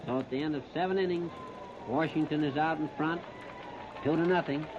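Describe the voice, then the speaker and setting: Man, American, 1930s baseball play-by-play, slightly high voice, short clipped calls, dull vintage broadcast sound. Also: fairly quick. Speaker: baseball radio commentator. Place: outdoor ballpark broadcast. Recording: noisy, muffled 1939 radio disc recording.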